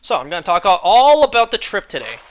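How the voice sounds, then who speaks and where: A young man streaming a game, chatting casually and rambling, clearing his throat often as he is losing his voice. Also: sits high, sing-song, quick pace, a bit bright.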